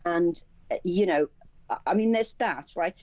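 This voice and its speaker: A woman with a low, dark-toned voice speaks as a guest commentator in a news interview. She explains things in halting, self-repeating phrases, and the sound is close and dry. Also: no echo.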